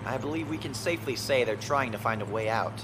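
An actor playing a dutiful lieutenant of station security in a science fiction audio drama, with a clipped, official manner. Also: male voice, mid-range voice, lively intonation, quick pace, natural voice. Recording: music behind the voice.

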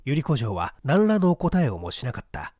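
A man narrating a mystery novel as a dramatic literary reading, with theatrical, deliberate phrasing. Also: medium pitch, huge pitch swings, a little mellow, no room sound, studio quality. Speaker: audiobook narrator.

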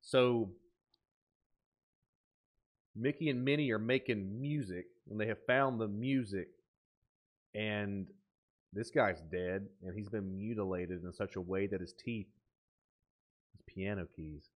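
A man in his thirties giving off-the-cuff reaction commentary, thinking aloud in an excitable but musing way. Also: fairly low pitch, takes it slowly, pauses often. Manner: excitable, exclaiming, dropping to musing asides. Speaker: host of a reaction video. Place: small indoor room at a desk.

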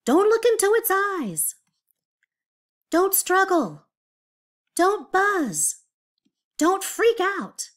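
Frightened storybook insects played by a woman: high, urgent, crying out advice in swooping exclamations. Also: sing-song, drawn-out words, long pauses, heavily acted. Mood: panicked, urgent. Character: gang of panicky insects shouting encouragement to a friend.

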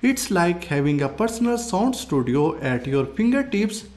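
Animated, high-pitched man presenting tech. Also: moderate pace. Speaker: tech review host. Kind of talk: scripted tutorial narration.

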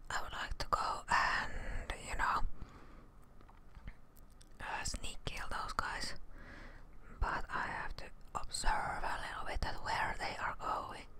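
A young woman doing ASMR game commentary in a breathy whisper close to the microphone, repeating short phrases and swearing under her breath. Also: takes it slowly, long pauses. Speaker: gaming streamer. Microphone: close microphone on boom arm, with headset.

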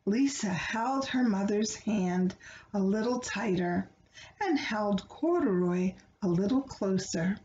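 A middle-aged woman reads a children's storybook aloud in an expressive, deliberate way. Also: mid-range voice. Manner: expressive, deliberate phrasing for young listeners. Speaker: children's book reader. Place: small living room, indoors. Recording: roomy, dull home recording with background noise.

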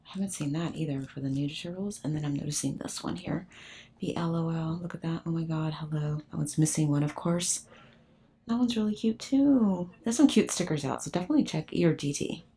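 A woman's voice: low-pitched, fairly quick, mellow, husky. An older woman chatting casually as she films herself browsing a store aisle, often dropping to a whisper. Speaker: shopping vlogger. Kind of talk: casual shop-with-me narration. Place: large discount store aisle. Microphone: handheld phone camera.

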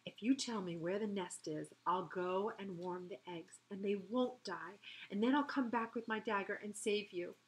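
A woman voicing a small, headstrong fairy girl in a storybook, quick-tempered but caring, speaking in an emphatic, demanding way. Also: medium pitch, natural voice, poor audio. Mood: determined.

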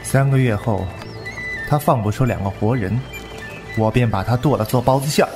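A man playing a calm, commanding martial-arts lord in a wuxia audio drama, terse and composed. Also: medium pitch, normal speed, over music, lightly acted. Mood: cool, terse.

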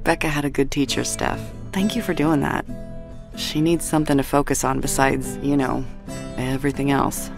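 A woman's voice: very deep voice, fairly even intonation, moderate pace, gravelly. An actress playing a worried, caring young woman in a video game, subdued and reflective. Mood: grateful, subdued. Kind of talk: dramatic video game acting. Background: plucked guitar music.

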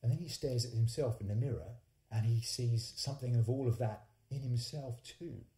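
A man's voice: mid-range voice, moderate pace, mellow. A man in his forties, a singer-songwriter hosting and telling stories casually, with hesitant conversational phrasing that restarts often. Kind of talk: casual storytelling between songs. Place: small home studio, indoors. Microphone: studio condenser microphone on a stand. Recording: roomy, echoing livestream sound.

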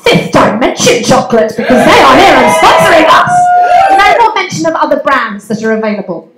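High, bubbly English woman MC on a handheld mic, echoey hall with noise behind. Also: huge pitch swings, fairly quick, over loud music. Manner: bubbly, chatty, teasing the crowd. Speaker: MC of a comedy night. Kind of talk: live emcee banter. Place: community centre hall, live audience.

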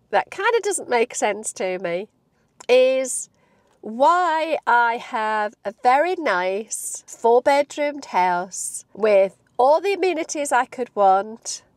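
Croaky chatty woman in her fifties. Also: on the high side, dry recording. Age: 50s. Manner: chatty, animated, laughs often. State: croaky voice from a cold. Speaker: vlogger. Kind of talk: casual talk to camera. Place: parked car, indoors. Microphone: lapel mic.

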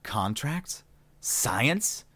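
A man reading an audiobook, voicing a defiant, sharp-tongued female alien captive who speaks in snapping, indignant bursts. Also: mid-range voice, sing-song, extremely slow, no echo, voice pushed up, heavily acted.

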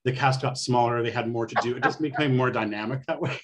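A middle-aged American man from Connecticut with a husky voice, chatting casually as an interview guest on a video call. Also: medium pitch, fast talker. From Connecticut, United States. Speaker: guest playwright.

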